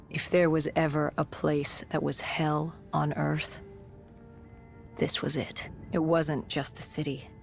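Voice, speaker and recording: An actor playing a grim, battle-worn cybernetic soldier, recounting humanity's fall in brooding, measured game narration with a gravelly edge. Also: mid-range voice. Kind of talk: scripted dramatic game narration.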